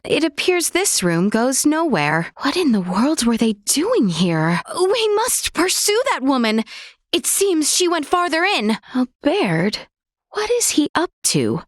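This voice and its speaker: A woman's voice: on the high side, no room sound, clean studio sound. A woman voicing a young, earnest royal princess in a video game, speaking in formal, polite, old-fashioned courtly phrases. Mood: concerned. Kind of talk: scripted video game voice acting.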